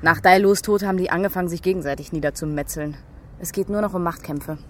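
Friendly, teasing policewoman, played by an actress, slightly low voice, casual delivery, radio drama with noisy surroundings. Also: steady tone, fast, lightly acted. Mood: relaxed, teasing. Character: friendly, teasing policewoman, older than her colleague. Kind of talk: naturalistic radio drama dialogue.

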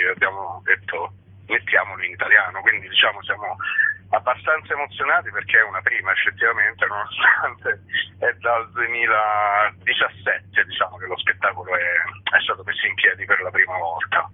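Low-voiced man on a tinny phone line. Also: husky, no room sound. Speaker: playwright and theatre director, interview guest. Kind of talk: conversational radio interview.

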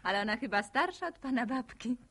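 An actress playing a bookish, self-possessed young village woman in a radio drama, composed, gently teasing and encouraging. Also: a little high, lively intonation, brisk. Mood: warm, interested. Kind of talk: naturalistic radio drama acting.

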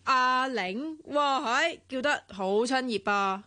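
A woman's voice: high-pitched, expressive, slow pace, no room sound, full character voice. An actress playing a jealous, teasing young woman in a Cantonese radio drama, sarcastic and pouting, with a Hong Kong accent. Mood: mocking, suspicious. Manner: sarcastic, pouting, sharp-tongued.

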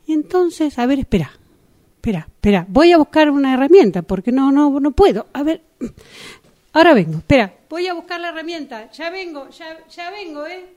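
A fairly high, animated woman's voice with a Rioplatense accent, acting a helper in a radio drama. She is flustered and exasperated yet soothing, often repeating herself. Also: moderate pace. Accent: Rioplatense Argentine. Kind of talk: amateur radio drama exercise.

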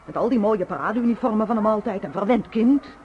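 A woman's voice: mid-range voice, brisk, close to an everyday voice. An actress playing an opinionated working-class mother in a radio drama, plain-spoken and insistent, repeating her points. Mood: indignant, scornful. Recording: muffled, noisy old radio drama recording.

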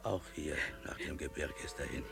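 An actor playing a humble travelling showman who speaks deferentially and haltingly to his prince in a German radio drama. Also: extremely low pitch, creaky, over music. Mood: grave, alarmed. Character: tall humble showman bringing dire news to a prince.

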